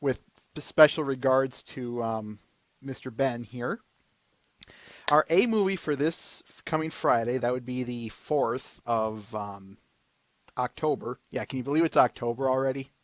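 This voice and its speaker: A man's voice: mid-range voice, unhurried, no room sound. A man hosting a casual podcast, announcing the week's schedule in an easygoing way with frequent fillers. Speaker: podcast host.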